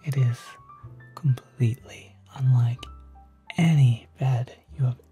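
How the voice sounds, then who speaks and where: A performer voicing a courteous, eager demon hotel receptionist in a soft, whispery, reassuring ASMR roleplay. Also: medium pitch, steady tone, takes it slowly, soft background music, lightly acted. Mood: smooth, inviting. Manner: polite, reassuring, salesmanlike.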